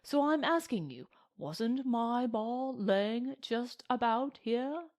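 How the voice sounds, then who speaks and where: A woman reading a storybook and voicing a sly cowboy, folksy and mock-courteous with quiet menace. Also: a little high, animated, slow pace. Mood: mock-polite menace. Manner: folksy Western drawling phrases, mock-courteous. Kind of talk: storybook character voice in narration.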